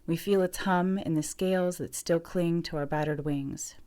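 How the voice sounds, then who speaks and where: A woman's voice: fairly low pitch, fairly even intonation, normal speed, dark tone. A woman giving a scripted reading of lyrical, literary prose. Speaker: reader of a literary piece.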